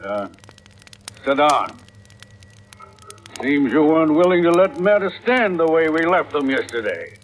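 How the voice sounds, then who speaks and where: Gruff, wary ship's captain. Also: male voice, fairly high pitch, sing-song, unhurried, pauses often. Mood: grudging, stern. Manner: terse, authoritative, grudging. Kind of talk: 1940s radio acting. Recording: vintage radio recording, faint noise.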